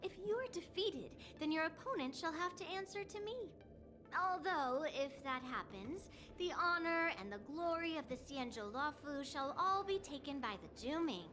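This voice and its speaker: Young female sword master, high-pitched, confident, teasing, slightly bossy mentor, anime game acting, background music. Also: lively intonation, moderate pace. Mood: proud.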